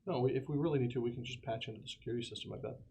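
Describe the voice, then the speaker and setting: Male player, middle pitch, casual planning talk, faint noise and clicks. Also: racing, mellow. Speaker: tabletop game player. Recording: slightly noisy room with clicks.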